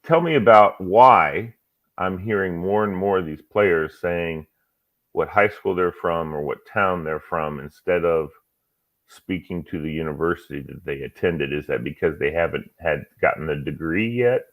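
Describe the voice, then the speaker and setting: A man with a very deep voice hosts a casual talk show. He asks questions, then agrees with his guest and builds on what he said. His voice sounds thin and tinny, as if it comes over a phone line. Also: normal speed. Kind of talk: casual interview chat.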